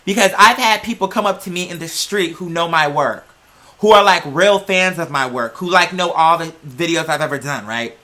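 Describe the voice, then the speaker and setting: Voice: mid-range voice, moderate pace, sharp tone. A sassy, emphatic online creator giving a casual, opinionated rant to viewers, with lots of slang and free swearing.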